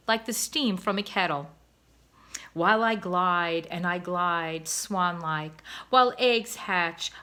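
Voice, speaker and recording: A woman voicing a proud, poetic male swan who speaks in slow, flowery phrases. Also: mid-range voice, pushed and bright.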